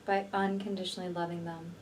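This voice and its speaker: A woman leading a guided healing meditation in a slow, soothing, deliberate manner with long pauses between phrases. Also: fairly low pitch, flat delivery. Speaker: energy healer and meditation guide. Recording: distant mic, faint background noise.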